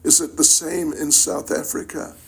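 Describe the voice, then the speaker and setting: An older man with a New Zealand accent gives an informal spiritual lecture in a halting, reflective, conversational way, checking in with his listeners. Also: fairly high pitch, level tone, vocal fry, fairly bright tone, husky, poor audio. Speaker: spiritual teacher giving a lecture. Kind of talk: informal religious lecture to an audience.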